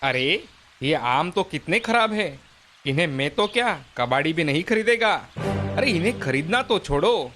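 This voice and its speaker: A man playing a scornful, dismissive market trader in an animated moral story, sneering and exclaiming. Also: fairly high pitch, normal speed, soft background music.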